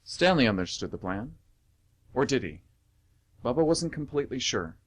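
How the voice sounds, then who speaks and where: A man announces and narrates for a radio theatre show. His mid-pitched voice moves widely in tune as he reads scripted material. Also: big pitch swings, moderate pace.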